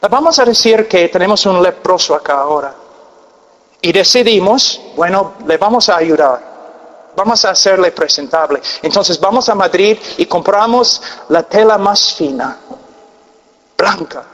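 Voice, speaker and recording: A man with an American accent preaches in a large church hall, his voice ringing with long reverb. He talks slowly and deliberately, his fairly high voice pushing to emphatic peaks, then dropping to hushed, intense near-whispers. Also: lively intonation. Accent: American, with Peruvian Spanish learned late. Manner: earnest, emphatic, long pauses, drops to quiet intensity. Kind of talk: sermon.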